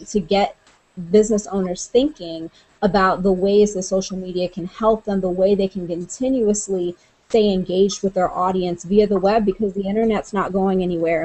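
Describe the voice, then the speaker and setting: A woman's voice: medium pitch, darkish tone, poor audio. A woman who works at a college, chatting earnestly about a social media guide, with frequent fillers like "you know" and "um". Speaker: college staff member, guest. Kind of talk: conversational discussion.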